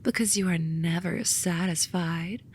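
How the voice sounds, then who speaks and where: A woman voicing a soothing but snarky sloth demon in a romantic ASMR roleplay, soft and coaxing with a dry edge. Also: on the low side, fairly even intonation, measured pace, a little hiss or hum, natural voice. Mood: defensive. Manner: soft, coaxing, dryly sarcastic, sometimes whispery.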